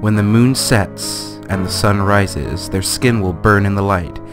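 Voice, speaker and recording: Low-pitched man reading dramatically over guitar music. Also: a little slow. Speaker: let's play gamer. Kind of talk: dramatic reading of a fantasy guidebook.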